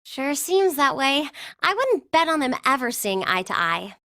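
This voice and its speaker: A woman's voice: high voice, big pitch swings, unhurried, sharp tone, a little hiss or hum, full character voice. An actress playing a proud, spirited teenage girl student in an anime game dub, confident and matter-of-fact. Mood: wry.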